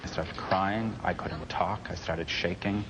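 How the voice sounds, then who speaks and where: A man's voice: sits low, fairly even intonation, a little slow. A man, an air traffic controller, giving a personal recollection in an interview. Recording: clearly noisy interview audio.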